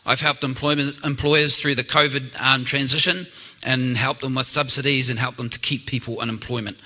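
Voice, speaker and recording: Bright middle-aged New Zealand man introducing himself. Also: medium pitch, steady tone, moderate pace. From Auckland, New Zealand. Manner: plain-spoken, clears throat often. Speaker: council candidate. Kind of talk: public campaign introduction.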